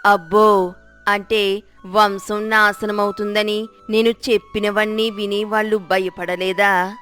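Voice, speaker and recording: A woman acts out a sly older village gossip from a moral tale. She is theatrical and wheedling, full of exclamations. Her pitch sits in the middle, over faint music. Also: level tone, takes it slowly, a bit bright. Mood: surprised. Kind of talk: acted moral story dialogue.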